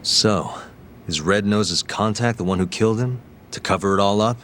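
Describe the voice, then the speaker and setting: An actor playing a tough, determined private detective with a direct, interrogating manner in a game dub. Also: male voice, fairly low pitch, normal speed, fairly bright tone, a little hiss or hum, lightly acted. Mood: probing. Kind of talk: English video game dub, dramatic acting.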